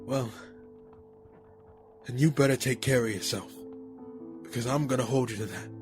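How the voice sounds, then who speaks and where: A man's voice: mid-range voice, moderate pace, music in the background, natural voice. An actor playing an earnest, hesitant, devoted man in love in an intimate audio drama. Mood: pleading.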